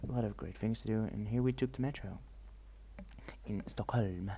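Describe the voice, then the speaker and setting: Soft-spoken man with a gravelly voice. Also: fairly low pitch, normal speed. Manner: rambling, hesitant, mixes soft talk with whispers. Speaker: ASMR vlogger. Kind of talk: soft-spoken travel vlog narration. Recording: faint ambient noise.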